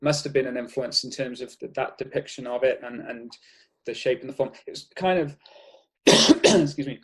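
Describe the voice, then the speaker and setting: A man's voice: mid-range voice, steady tone, moderate pace, breathy edge. A man from Wales with a Cardiff accent, a guest artist talking in a casual interview, hesitant and full of restarts. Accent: Welsh, Cardiff. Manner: hesitant, many restarts and fillers.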